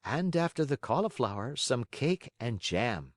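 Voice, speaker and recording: A man voicing a kindly, motherly fairy woman in a storybook, speaking in a gentle, measured, teasing way. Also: mid-range voice, very animated, no room sound, voice pushed up. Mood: coaxing. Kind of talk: audiobook character acting.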